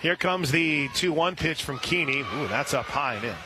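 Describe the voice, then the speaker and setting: An American man calling live softball play-by-play on the radio from an outdoor ballpark. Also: a little high, animated, moderate pace, noisy background. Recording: loud outdoor ballpark ambience.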